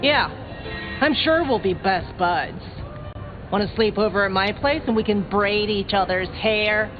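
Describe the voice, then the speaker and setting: A woman's voice: medium pitch, a little slow, lightly acted. An actress playing a brash, sarcastic young female vampire in a video game, a scrappy small-town newcomer who talks in slangy, sardonic, sweary bursts. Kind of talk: video game character dialogue. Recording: dull game audio under clear music.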